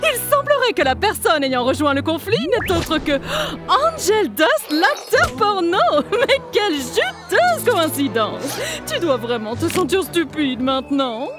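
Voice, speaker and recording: A woman's voice: very high-pitched, big pitch swings, a little slow, over music, exaggerated voice. A woman voicing a sarcastic, malicious demon TV news anchor in an exaggerated cartoon fandub style. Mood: gleefully mocking. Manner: sarcastic, theatrical.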